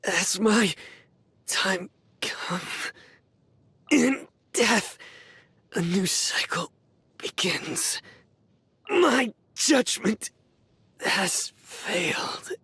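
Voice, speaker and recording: An actor playing a stern, earnest young judge and desert warrior in a video game, speaking in a measured, formal, deadpan way. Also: male voice, sits high, breathy edge, dry recording, exaggerated voice. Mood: dying, strained. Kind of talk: scripted video game character voice lines.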